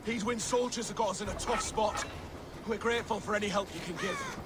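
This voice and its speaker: Beleaguered soldier , male actor, game combat lines: panicky, extremely high-pitched; loud ambient noise. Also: brisk, close to an everyday voice. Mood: strained, grateful. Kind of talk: video game battle dialogue.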